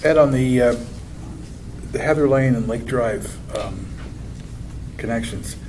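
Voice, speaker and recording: A man on a town board presses with questions, informal and halting, his words stop and restart. Steady hiss sits behind him. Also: mid-range voice. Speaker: board member asking questions. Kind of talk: questioning at a public meeting.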